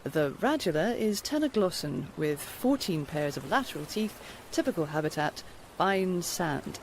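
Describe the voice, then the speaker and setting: A woman playing a precise, absorbed naturalist in an audio drama, with a measured, scholarly manner. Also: lowish voice, sing-song, a little hiss or hum. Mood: absorbed, studious.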